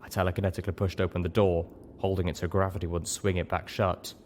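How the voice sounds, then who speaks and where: A man with a deep voice narrates a story for an audiobook. He reads from a script, and the recording carries a little noise. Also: little pitch movement, fast talker, a little mellow.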